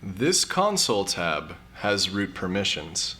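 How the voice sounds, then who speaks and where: A man's voice: sits low, huge pitch swings, slow pace, sharp tone, slight background noise, heavily acted. A man playing a sheepish, nerdy hacker in an audio drama, hesitant and self-justifying. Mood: guarded. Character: sheepish, nerdy male hacker, brooding digital security veteran.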